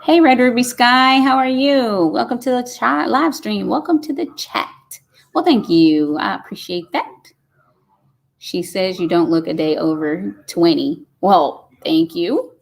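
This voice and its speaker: A woman's voice: medium pitch, a little slow, gravelly. A woman, hosting a casual live stream and chatting folksily as she reads comments aloud. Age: 50s. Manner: chatty and folksy, says y'all.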